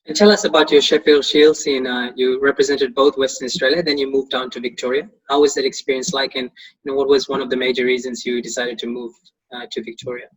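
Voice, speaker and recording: A man's voice: mid-range voice, little pitch movement, fast, husky, low-quality recording. A man hosting an interview, asking short questions with brief encouraging replies. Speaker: interview host.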